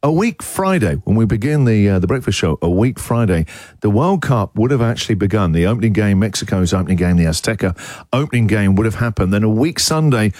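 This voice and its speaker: Brief: Australian male breakfast host, animated swooping delivery, dry close-mic studio sound. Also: fairly low pitch, dry recording. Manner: excitable, chatty, rising to shouts. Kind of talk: lively radio banter. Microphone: studio microphone.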